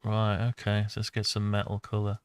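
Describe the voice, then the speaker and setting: A man's voice: lowish voice, monotone, fairly quick, clean tone, no room sound. A man giving a casual think-aloud tutorial, muttering to himself as he talks through each step. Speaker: host of a CAD design tutorial.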